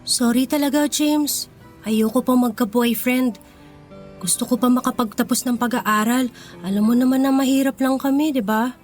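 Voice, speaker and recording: A woman's voice: sits high, steady tone, normal speed, a little mellow, soft background music, lightly acted. A Filipino woman playing a poor, proud and kind schoolgirl in an emotional, earnest radio drama style. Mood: apologetic.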